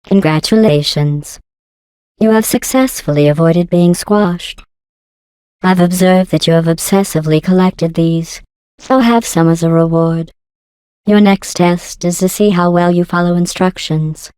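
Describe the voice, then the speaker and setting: A woman voicing a passive-aggressive female artificial intelligence in a video game, deadpan and mock-sweet, with synthetic robotic processing. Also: fairly low pitch, unhurried, in a large hall, rough recording. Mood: dry sarcasm. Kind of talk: scripted video game dialogue.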